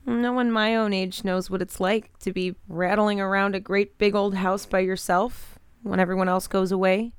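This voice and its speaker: A woman plays a sheltered, gentle teenage girl in an audio drama. Her voice is smooth and clean at a medium pitch, sincere and polite, in a very dry recording. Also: little pitch movement, normal speed, few pauses, no room sound, natural voice. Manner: soft-hearted, sincere, polite.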